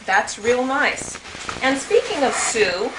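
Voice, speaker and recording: A woman's voice: on the high side, big pitch swings, slow pace. A woman reading out joke birthday messages to guests at a home party. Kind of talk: comic reading aloud at a party. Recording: very noisy, echoey amateur home video.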